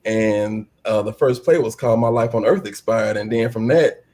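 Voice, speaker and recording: An American man answering interview questions casually, in an unhurried, reflective way. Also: on the low side, a little mellow. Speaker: stage actor, interview guest.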